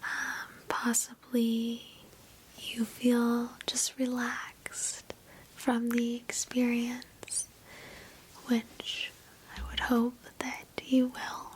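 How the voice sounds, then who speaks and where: A woman whispers a guided meditation in ASMR style, softly and breathily, with a gentle, soothing, reassuring manner. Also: fairly high pitch, completely flat delivery, drawn-out words, poor audio. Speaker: ASMR host guiding a meditation. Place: indoors, sitting on a bed.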